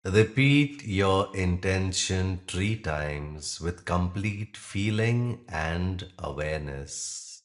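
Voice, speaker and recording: A man leading a guided yoga nidra meditation, speaking calmly and gently in short, unhurried phrases with pauses. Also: deep voice. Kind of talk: guided meditation, slow instruction with long pauses.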